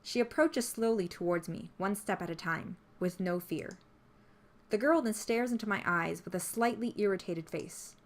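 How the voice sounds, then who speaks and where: A woman reading a story aloud as scripted narration, in an expressive storytelling manner. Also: medium pitch, moderate pace. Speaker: visual novel player reading the text aloud. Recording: music behind the voice.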